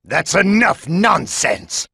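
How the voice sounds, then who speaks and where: An actor playing a cold, calculating male android villain in an anime game, with a raspy, creaky voice. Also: sits high, big pitch swings, slow pace, very noisy, heavily acted. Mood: irritated. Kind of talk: dubbed anime video game acting.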